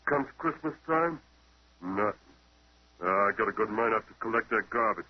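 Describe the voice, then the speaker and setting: Grumbling, husky janitor. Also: male voice, fairly low pitch, animated, moderate pace. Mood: resentful, complaining. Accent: American. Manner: sullen, slangy, ungrammatical speech. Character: grumbling working-class male janitor playing dumb. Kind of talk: 1930s radio acting. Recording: dull old 1930s radio recording.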